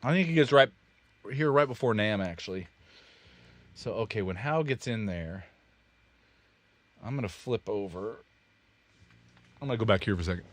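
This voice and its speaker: A man's voice: lowish voice, expressive, normal speed, pauses often. A man from Kansas, hosting a casual studio livestream and explaining his drum miking in a rambling, self-correcting, joking way. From Kansas, USA. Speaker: recording studio owner and livestream host. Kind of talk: casual technical livestream chat. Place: recording studio control room.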